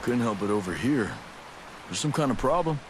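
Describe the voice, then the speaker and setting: An actor playing a steady, helpful special forces soldier with a husky voice in a video game. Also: male voice, medium pitch, normal speed, a crowd in the background, lightly acted, low-quality recording. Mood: steady, reassuring. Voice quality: husky, gravel-edged. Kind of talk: video game cutscene acting.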